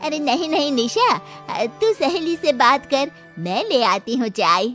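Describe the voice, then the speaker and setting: A woman's voice: high voice, animated, a little slow, a bit bright. A woman voicing a frightened, submissive elderly mother-in-law in a cartoon story, pleading and eager to please. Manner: pleading, stammering. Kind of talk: Hindi cartoon story dubbing. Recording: music behind the voice.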